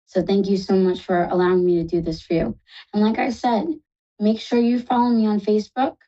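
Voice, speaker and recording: New York woman, live psychic call-in host, quick and affectionate, soft dark voice. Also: medium pitch, level tone, muffled recording. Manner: fast-talking, reassuring, affectionate.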